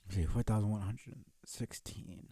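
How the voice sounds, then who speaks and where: A man's voice: fairly low pitch, fairly even intonation, normal speed, mellow, slightly rough, no room sound. A man chatting casually as a livestream host, in a laid-back, muttering, profane way on a close mic.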